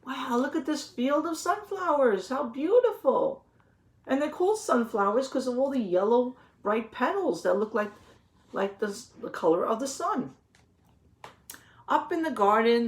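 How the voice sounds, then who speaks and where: A woman reading a children's picture book aloud, pausing to explain things simply and put questions to the young listeners. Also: medium pitch. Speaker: read-aloud teacher.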